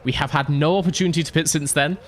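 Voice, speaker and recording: A man's voice: fairly high pitch, fast talker, a little hiss or hum. A man streaming a racing game, commenting playfully and joking with his chat. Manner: playful, jokey, talks to chat. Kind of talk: live gameplay commentary.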